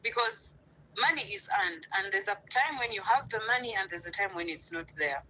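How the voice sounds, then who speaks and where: A woman from Uganda speaking earnestly as a guest on a live stream, swooping up in pitch for emphasis. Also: lively intonation, a little fast, pushed and bright, a little hiss or hum, low-quality recording. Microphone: live stream call.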